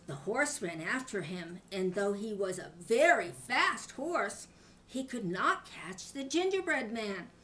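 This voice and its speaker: An older woman, a grandmother, reading a children's story aloud in an animated style. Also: medium pitch, takes it slowly.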